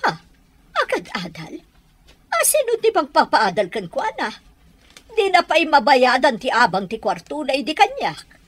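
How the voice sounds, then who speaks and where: A woman with an Ilocano accent playing a bossy, nosy middle-aged mother in a radio drama, with a husky voice. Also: sits high, wildly swooping pitch, normal speed, pauses often, heavily acted. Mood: suspicious.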